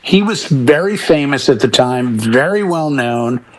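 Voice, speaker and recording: A man's voice: mid-range voice, animated, unhurried, rough recording. A middle-aged man chatting casually as a DJ on a panel, telling anecdotes and acting out the dialogue in them. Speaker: DJ and talk show panelist. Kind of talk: casual chat and storytelling.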